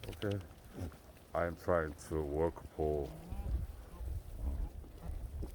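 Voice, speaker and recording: A Korean man around sixty with a Korean accent, talking casually to camera in halting English, often pausing and restarting. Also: extremely low pitch. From South Korea. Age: 60s. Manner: halting, searching for words, many pauses and restarts. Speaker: goat farmer vlogger. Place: outdoors on a goat farm. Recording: noisy outdoor recording with goats. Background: goats bleating.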